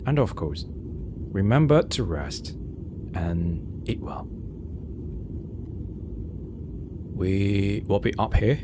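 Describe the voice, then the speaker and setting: A man playing a calm, reassuring airline captain in a soothing ASMR roleplay, speaking softly and breathily, often close to a whisper. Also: heavily acted. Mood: warm, gentle. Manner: slow, gentle, measured, with long pauses. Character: calm, reassuring male airline captain addressing night-flight passengers. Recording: steady rumbling aircraft cabin noise. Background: low engine rumble ambience.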